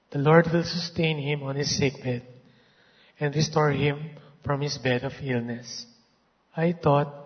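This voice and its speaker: A hoarse man reading an earnest, grateful testimony to a church. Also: a little high. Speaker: church member giving a testimony. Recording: dull sound in a reverberant hall.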